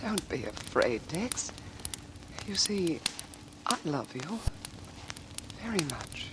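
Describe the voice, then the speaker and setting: An actress playing a tender, guarded sweetheart in a 1940s radio romance thriller. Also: female voice, low voice, very animated, takes it slowly, exaggerated voice. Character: soft, guarded female sweetheart in a noir romance. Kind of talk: 1940s radio drama acting. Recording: very noisy vintage radio recording.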